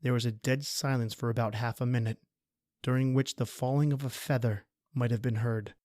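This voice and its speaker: Male narrator for radio horror drama: medium pitch, theatrical scripted reading, dry studio-like sound. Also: fairly even intonation, brisk, a little mellow, dry recording.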